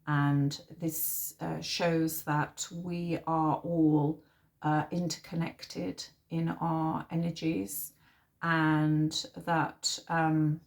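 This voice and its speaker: A woman with a low, level voice leads an informal spiritual card reading. She talks slowly and haltingly, with many pauses. A sore throat leaves her sounding a little nasal and husky. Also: level tone. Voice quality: slightly husky. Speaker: tarot reader and host.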